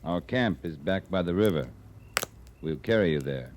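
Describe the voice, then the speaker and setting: An actor playing a calm, commanding frontier cavalry captain, terse and dry, in an old-time radio western. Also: male voice, sits low, moderate pace. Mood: firm, calm. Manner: terse, dry, matter-of-fact. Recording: hissy old radio drama recording.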